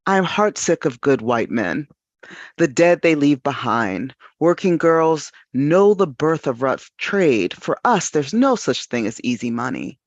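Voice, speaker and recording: A woman reads poetry with big swings in pitch and a slow, deliberate pace. The sound is dry and muffled. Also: very animated, no echo. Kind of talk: dramatic poetry reading. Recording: dull lo-fi dry audio.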